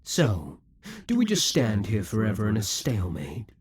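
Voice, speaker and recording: A man's voice: lowish voice, huge pitch swings, normal speed, darkish tone, husky, exaggerated voice. A man playing a brooding, possessive demon king. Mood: exasperated. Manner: brooding theatrical monologue. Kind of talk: audio roleplay addressing the listener.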